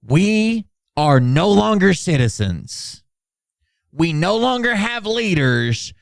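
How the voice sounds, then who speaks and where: A man's voice: high voice, big pitch swings, slow pace, gravelly, dry recording, clean studio sound, heavily acted. A man doing an over-dramatic reading as a pompous, self-important doomsaying orator, grandiose and declamatory. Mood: grave, solemn.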